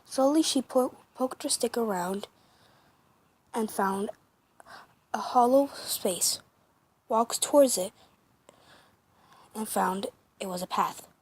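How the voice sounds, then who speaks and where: Slow, halting, breathy young woman narrator. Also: fairly high pitch. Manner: slow, halting, many restarts and self-corrections. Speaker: amateur dub narrator. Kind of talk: reading a fan story aloud.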